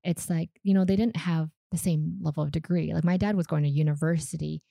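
Woman, 30s, from Canada, Vietnamese first language; deep, warm, slightly gravelly, dry close sound, casual storyteller. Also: fairly quick, no echo. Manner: relaxed, chatty, frequent fillers and small laughs. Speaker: guest.

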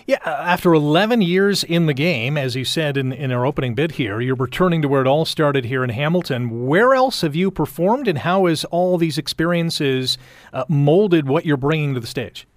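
A male radio host with a slightly high voice. He interviews in an upbeat broadcast manner, recorded close and dry in a studio. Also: animated, normal speed, no room sound. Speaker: radio morning show host.

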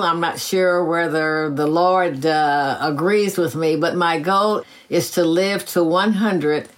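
A woman in her eighties, a retired teacher, telling her own story in a gracious, measured way with soft laughs. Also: on the low side. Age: 80s. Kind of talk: reflective personal storytelling.

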